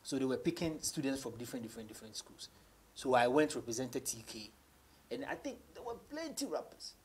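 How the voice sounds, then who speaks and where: A man's voice: medium pitch, sing-song, fairly quick, slightly rough. A man in his thirties with a Ghanaian accent, telling stories casually as an interview guest, switching between English and Twi. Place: small indoor studio.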